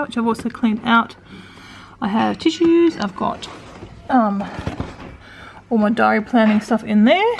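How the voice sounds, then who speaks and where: An Australian woman in her forties with a croaky voice, talking casually and wearily through her day at home in a vlog. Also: on the high side, slight background noise. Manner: tired, rambling, self-talking. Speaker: vlogger. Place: indoors at home.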